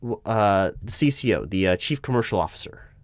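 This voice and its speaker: Man playing nervous recruiting manager, deep-ish voice, close dry sound, hesitant, apologetic, over-polite, audio roleplay. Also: expressive, a little fast, no echo. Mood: puzzled, distracted. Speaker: roleplay performer. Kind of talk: one-sided job interview audio roleplay, spoken aloud.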